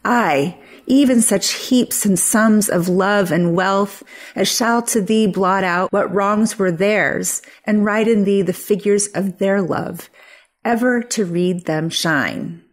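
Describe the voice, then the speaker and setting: An actress playing a solemn, persuasive senator, delivering formal verse in a Shakespearean audio play. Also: female voice, mid-range voice, takes it slowly.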